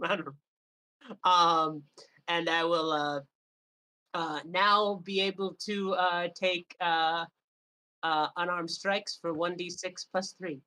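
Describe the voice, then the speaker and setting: A woman with a lowish, bright voice plays a tabletop game. She speaks slowly and haltingly, casual and full of hesitation. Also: fairly even intonation.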